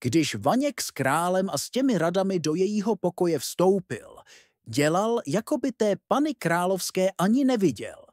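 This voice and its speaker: A man's voice: high voice, sing-song, darkish tone, dry recording, clean studio sound. A man narrating a fairy tale as a scripted storyteller.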